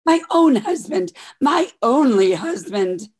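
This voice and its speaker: A woman's voice: high-pitched, very animated, slow pace, a little mellow, slightly rough, heavily acted. A woman playing a melodramatic, swooning married lover in a radio romance parody, recorded over a home video call. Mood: amorous. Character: breathless, melodramatic married lover in secret affair.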